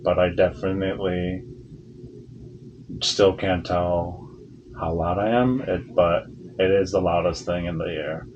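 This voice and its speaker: A young man with a very low voice talks haltingly, pausing to find the right words. Clear room noise hums behind him. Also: steady tone, a little mellow, lo-fi sound. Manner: hesitant, searching for words, describing sounds. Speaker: cochlear implant patient. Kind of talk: casual conversation.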